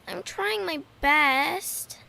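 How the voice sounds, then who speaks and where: A woman's voice: extremely high pitch, extremely slow, gravelly, pushed and bright, exaggerated voice. A woman voicing an eager, playful nine-year-old girl training as a vampire hunter, in a radio drama. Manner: playful, hams it up. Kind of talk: hobby radio drama acting.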